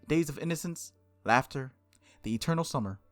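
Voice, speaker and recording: Playful man with very animated pitch. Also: fairly quick, soft background music. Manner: playful, self-interrupting, exclamatory. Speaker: gaming video host. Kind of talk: casual let's play chat and reading aloud.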